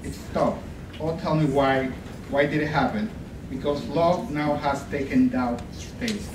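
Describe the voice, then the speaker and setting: A man's voice: on the high side, a little slow, background noise. Man reciting poetry with passion. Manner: impassioned, pleading. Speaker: contestant. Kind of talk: dramatic poetry reading. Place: college event room. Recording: echoey room, distant mic.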